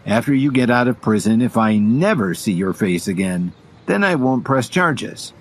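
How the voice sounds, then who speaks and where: A man playing a calm, defiant young hero in an audio drama, speaking in a firm, controlled way. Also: mid-range voice, moderate pace. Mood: cool, confident.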